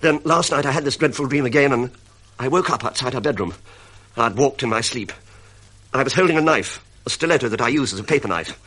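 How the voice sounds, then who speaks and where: An anxious, polite British man in his thirties in a vintage radio drama, played by an actor. He speaks quickly in a medium-pitched voice, earnest and hesitant. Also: a bit bright, natural voice, poor audio. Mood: troubled, fearful.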